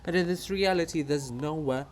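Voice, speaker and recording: A man voicing a mocking, excitable chorus of gossiping living monuments in a theatrical reading. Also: on the high side, normal speed, a little hiss or hum, close to an everyday voice. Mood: defiant singing. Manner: jeering, excitable, taunting.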